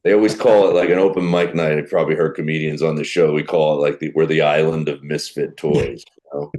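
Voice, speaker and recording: A middle-aged man with a deep voice, a comedian telling animated stories in a podcast interview. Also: fast talker, low-quality recording. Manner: animated storyteller who acts out quoted people. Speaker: guest comedian.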